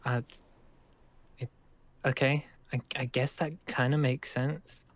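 A man playing a nervous, friendly young survivor in an improvised game roleplay, speaking in halting, rambling stammers. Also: mid-range voice, little pitch movement, no room sound. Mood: nervous, hesitant. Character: nervous, friendly young male zombie-apocalypse survivor living at a school.

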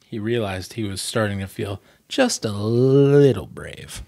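A man's voice: lowish voice, animated, measured pace, vocal fry, warm tone, no room sound. A man in his thirties speaking as a vlog host and storyteller, earnest and emphatic, close to a desk microphone. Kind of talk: storybook reading and candid commentary. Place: small plain room indoors.

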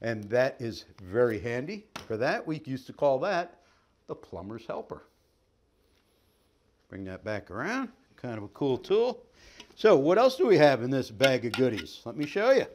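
A man's voice: medium pitch, big pitch swings, normal speed, a little mellow, a little hiss or hum. A middle-aged man giving a folksy, upbeat product demonstration as a sales trainer, joking as he handles the equipment in a staged living room. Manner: folksy, upbeat, jokey patter while handling gear.

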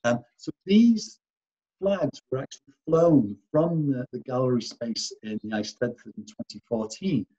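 A man's voice: mid-range voice, measured pace, warm tone, breathy edge, muffled recording. A man giving an artist talk over slides, hesitant and full of restarts and fillers. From Wales.

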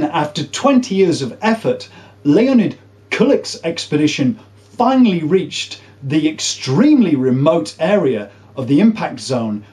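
A man in his forties explaining science to camera as a presenter, with emphatic, drawn-out stress on key words. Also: high voice, darkish tone. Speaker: presenter of a space science video series. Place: small indoor room.

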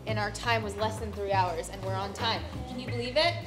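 A woman's voice: medium pitch, a little fast. Young woman vlogging in an echoey room. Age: young adult. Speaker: host and campaign director. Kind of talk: casual vlog chat. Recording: roomy echo, music underneath. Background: music.

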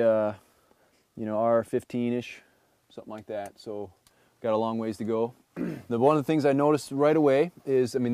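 A man in his thirties, an energy auditor, explaining an on-site home inspection in a relaxed way with many fillers, recorded in a house basement. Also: mid-range voice. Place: basement crawl space and attic of a house.

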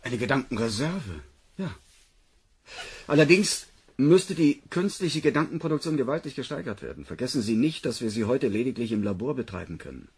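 An actor playing a precise, irritable scientist in a German sci-fi audio drama, with a clipped, technical delivery. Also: male voice, mid-range voice, lively intonation, a little fast, darkish tone, slightly rough. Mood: grave, factual. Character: anxious, precise male scientist, irritable subordinate.

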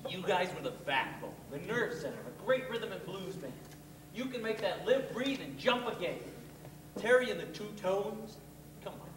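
A man's voice: high-pitched, lively intonation, moderate pace. Guy playing pushy ex con blues singer in a stage play, echoey and hissy. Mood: persuasive. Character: brash, persuasive male ex-con blues musician. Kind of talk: stage comedy acting. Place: theater stage, recorded from the audience. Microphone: far camera. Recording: echoey theater stage, distant noisy camera mic.

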